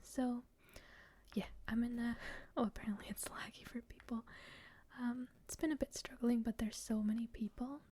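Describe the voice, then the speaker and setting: A woman whispering while she plays and describes an online game, shy and a little rambling, with frequent soft laughs. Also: fairly high pitch, fairly even intonation, moderate pace, slightly rough. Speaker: gamer.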